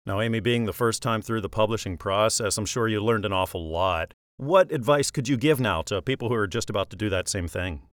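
A man's voice: lowish voice, a little fast, few pauses, no echo. A man hosting an author podcast, with a polished radio-style delivery. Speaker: podcast host. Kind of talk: interview host, scripted intro.

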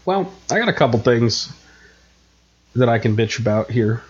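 A man's voice: mid-range voice, expressive, normal speed, lo-fi sound, a little hiss or hum. A man with a head cold and a nasal, stuffed-up voice, co-hosting a podcast in casual, rambling chat full of fillers. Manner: rambling, self-mocking, many fillers. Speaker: podcast co-host.

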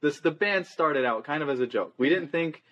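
A man's voice: a little high, lively intonation, normal speed, fairly bright tone, muffled recording. A nineteen-year-old man, a band guest, telling stories in a loose, rambling way full of "like" and "um". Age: young adult. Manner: rambling, loose, many fillers. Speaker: guest musician. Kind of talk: casual interview chat.

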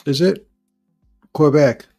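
A man's voice: on the high side, slow pace, a little mellow, soft background music. A man hosting a live call-in show, chatting casually, rambling as he searches for words and reads out viewer comments. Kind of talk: casual live-stream chat.

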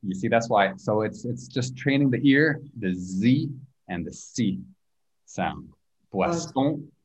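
A man teaching French pronunciation in an online group class, patiently repeating words slowly for learners. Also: on the low side, expressive, husky, low-quality recording.

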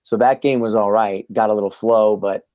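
A young man in casual podcast chat, laid-back and slangy, his voice worn and going hoarse. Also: lowish voice, steady tone, moderate pace, darkish tone, no echo. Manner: laid-back, says man and dude a lot. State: losing his voice. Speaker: podcast co-host, PE teacher.

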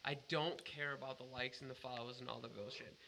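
Bright-voiced, earnest man chatting on a podcast. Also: medium pitch, steady tone, fairly quick, gravelly, a little hiss or hum. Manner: earnest, emphatic, heavy swearing. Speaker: podcast co-host. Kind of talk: casual podcast chat.

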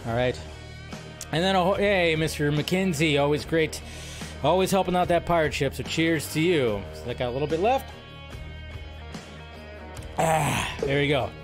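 A man's voice: on the high side, measured pace, long pauses, soft background music. A man hosting a livestream in a casual, rambling, informal way, chatting to viewers and repeating his phrases. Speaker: livestream host. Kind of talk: casual livestream chat, greeting viewers and reading comments.

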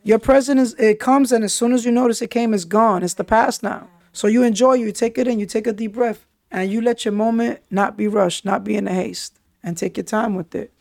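A man's voice: sits high, steady tone, a little fast, vocal fry, hardly any pauses, darkish tone, no room sound. A man, hosting a podcast and telling his life story candidly in street slang, often saying "yo" and "you understand?". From New York City. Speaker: podcast host.

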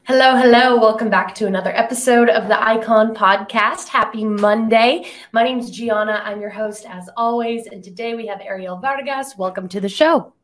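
A woman's voice: on the high side, moderate pace, few pauses. A woman from Tennessee hosting a podcast, upbeat and chatty, with a playful teasing edge. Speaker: podcast host. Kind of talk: podcast interview.

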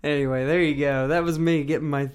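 A man's voice: fairly high pitch, fairly even intonation, fast talker, smooth. A man co-hosting a podcast, telling a crude, self-mocking story in casual chat. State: sore and recovering from illness. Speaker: podcast co-host.

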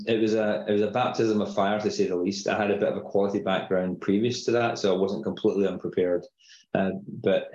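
A middle-aged man with a Scottish accent, explaining things in a measured way as a guest on a podcast interview. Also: sits low, a little mellow, roomy sound, dull audio. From Scotland. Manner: measured, explanatory, uses filler phrases. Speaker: company founder guest.

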